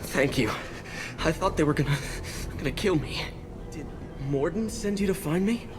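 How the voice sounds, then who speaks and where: Earnest, high-voiced young medic played by a man. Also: animated, moderate pace, background noise, lightly acted. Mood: shaken, grateful. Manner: earnest, pleading, sincere. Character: earnest, idealistic young male medical assistant. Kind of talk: video game voice acting.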